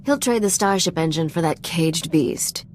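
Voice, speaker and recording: Low-voiced, self-assured woman sly smuggler. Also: expressive, normal speed, slight background noise. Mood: cool, assured. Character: confident worldly young female smuggler's partner, cool and sly. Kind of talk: video game cutscene dialogue.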